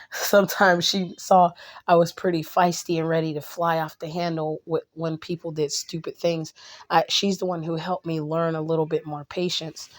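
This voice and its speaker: A woman vlogging casually to her viewers, a little hoarse and raspy from a cold, rambling with restarts and blunt asides. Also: low-pitched, a little mellow. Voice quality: slightly raspy. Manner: blunt and outspoken.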